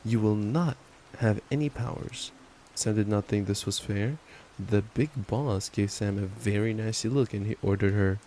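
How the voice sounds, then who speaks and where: Young guy doing cuddly boyfriend asmr, soft dark tone, casual and playful, bit of rain in the background. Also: fairly low pitch, rough recording. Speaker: ASMR roleplayer playing a boyfriend. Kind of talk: intimate ASMR boyfriend roleplay with bedtime story reading. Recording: steady rain noise. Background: rain sounds.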